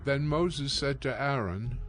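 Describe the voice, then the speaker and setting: A man's voice: medium pitch, takes it slowly. A man giving scripted narration of scripture as the narrator of an audio production. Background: music.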